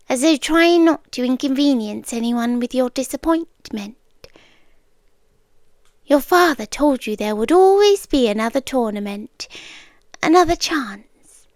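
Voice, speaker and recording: Woman as a slow, whispery ancient yandere being, British. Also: sits high, no room sound, studio quality. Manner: slow, deliberate, hushed, with dramatic pauses. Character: ancient otherworldly female being, obsessively devoted, wistfully watching humans. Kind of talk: soft ASMR roleplay, half-whispered monologue. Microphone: close ASMR microphone.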